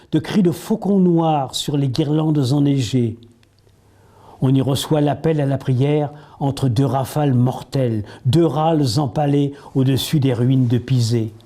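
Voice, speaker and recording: A man recites verse solemnly in a medium-pitched, dark and relaxed voice. He moves very slowly and deliberately, setting each phrase apart with a pause. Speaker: poetry reader.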